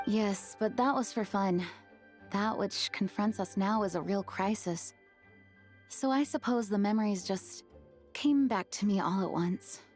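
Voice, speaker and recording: A woman's voice: medium pitch, unhurried, a little mellow, soft background music, close to an everyday voice. A woman voicing an earnest, dutiful female knight commander who speaks in a formal, composed way in video game dialogue. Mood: bashful.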